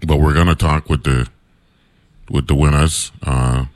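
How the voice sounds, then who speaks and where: A man with a deep baritone voice hosting a morning radio talk show from a studio in the US Virgin Islands, chatty, with lots of fillers and "right?" tags. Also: steady tone, measured pace, darkish tone, no room sound. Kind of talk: casual radio monologue.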